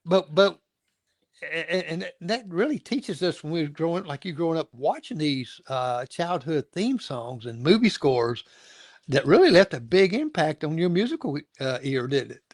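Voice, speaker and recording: A man's voice: on the high side, big pitch swings, normal speed, no room sound, clean studio sound. A middle-aged or older man hosting a casual interview, talking in a rambling, folksy way and stammering over repeated words. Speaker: show host interviewing a guest.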